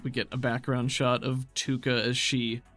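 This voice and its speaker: A man's voice: medium pitch, little pitch movement, moderate pace, a bit bright, soft background music. A man narrating a tabletop game as game master, descriptive and conversational, improvising as he goes.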